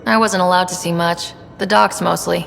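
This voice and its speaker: Young woman game explorer, direct and curious, bit of fry in the voice, echoey hall w some noise. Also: on the low side, steady tone, moderate pace, fairly bright tone. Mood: curious, friendly. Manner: direct, inquisitive. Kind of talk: video game dialogue acting.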